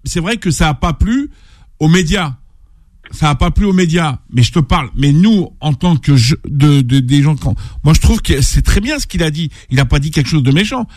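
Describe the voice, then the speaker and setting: A man co-hosting a radio sports show, eager and quick to jump in. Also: on the high side, lively intonation, darkish tone, no room sound, studio quality. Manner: eager, interrupting, repeating names.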